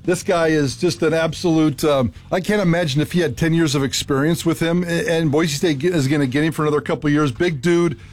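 A man's voice: on the high side, fairly even intonation, a little fast, few pauses. A man co-hosting a sports radio show, talking enthusiastically and often restarting his sentences. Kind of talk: casual sports talk.

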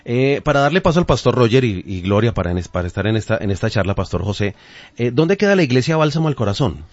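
A man's voice: mid-range voice, very animated, quick pace, no room sound. A man with a Colombian accent hosting a conversational radio interview, addressing his guest courteously and formally. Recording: dull, band-limited radio audio.

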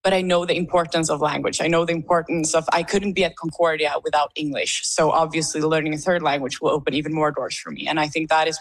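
Young woman, lowish voice, talks slow and careful, lots of restarts while she finds her words. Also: steady tone, poor audio. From Sweden. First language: Swedish. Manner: thoughtful, searching for words with many restarts. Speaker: art student in a summer program. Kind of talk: casual interview answers.

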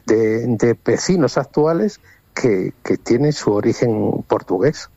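A man's voice: mid-range voice, animated, takes it slowly, creaky, warm tone, dry recording. An older man from Spain answering radio interview questions for a retirees' association, hesitant, full of fillers and repeated words, on muffled lo-fi audio.

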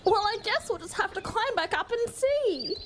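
A woman's voice: very high voice, lively intonation, normal speed, a bit bright, a little hiss or hum, pitched above the performer's own voice. A woman voicing a fussy mother koala who whines and frets in a read-aloud story. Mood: whining. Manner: whiny, fussy, cooing.

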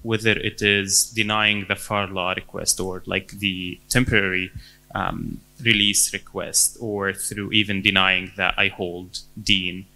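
A man in his thirties with a Syrian accent, answering interview questions in a halting way, with many fillers and restarts. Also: low voice, little pitch movement, vocal fry, pushed and bright, low-quality recording. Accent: Syrian Arabic. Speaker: interview guest.

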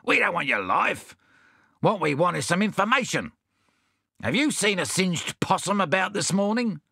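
A man's voice: high voice, big pitch swings, moderate pace, vocal fry, pushed and bright, slightly rough, dry recording, studio quality, pitched above the performer's own voice, full character voice. Man acting a blustering, hot-tempered storybook adventurer. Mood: demanding. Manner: roaring, indignant, colourfully abusive.

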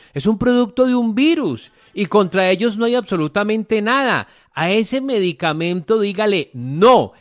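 A man's voice: high voice, no room sound, studio quality. A Colombian man giving conversational health advice on the radio as a natural medicine doctor, talking to listeners in a folksy, colloquial way. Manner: folksy, colloquial, addresses listeners directly with diminutives.

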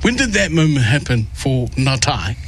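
A man's voice: mid-range voice, big pitch swings, moderate pace, a little hiss or hum. A male radio host interviewing musicians in a casual, rambling way, with many restarts. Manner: rambling, repeats words and restarts often. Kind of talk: warm casual interview with Pacific greetings.

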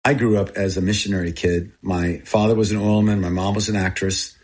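Man, 60s, raised in Thailand, native Thai speaker. Earnest, confessional interview storytelling, husky and on the low side. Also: fairly even intonation, brisk, a little mellow. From born in Texas, grew up in Thailand. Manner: earnest, confessional, short plain phrases. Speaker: interviewee, missionary aid group founder.

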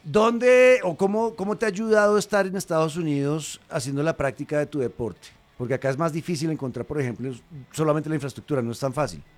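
A man hosting a live interview, encouraging his guest with steady backchannels. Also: fairly high pitch, brisk, few pauses. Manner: encouraging, lots of "ujú" backchannels. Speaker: interview host.

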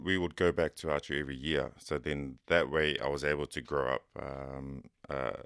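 A man, raised there after a Cook Islands birth, answering a radio interview as a police officer in a halting, soft-spoken way with many pauses. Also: very deep voice, smooth, dry recording, studio quality. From Cook Islands, raised in New Zealand. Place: radio studio.

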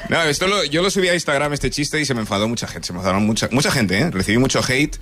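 A man's voice: medium pitch, expressive, racing, fairly bright tone, slight background noise. A man from Nigeria who grew up partly in Spain, a native English speaker, telling stand-up stories conversationally. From Nigeria, moved to Spain as a child. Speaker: stand-up comedian. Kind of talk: stand-up comedy storytelling.

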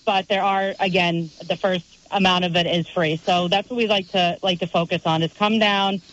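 A woman's voice: fairly low pitch, steady tone, normal speed, a bit bright, highs cut off. A woman event organizer in an interview, upbeat and eagerly listing what is on offer. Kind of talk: casual interview chat.

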